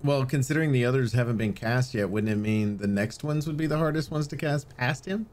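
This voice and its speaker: A man's voice: mid-range voice, brisk, darkish tone. A man co-hosting a podcast with casual, conversational banter. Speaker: podcast co-host.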